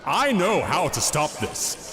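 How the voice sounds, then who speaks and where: An actor playing a reformed criminal mastermind giving an emphatic, oratorical campaign speech in an audio drama. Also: male voice, fairly high pitch, very animated, takes it slowly. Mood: solemn. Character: Oswald Cobblepot, reformed criminal mastermind turned bombastic mayoral candidate. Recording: outdoor crowd noise behind.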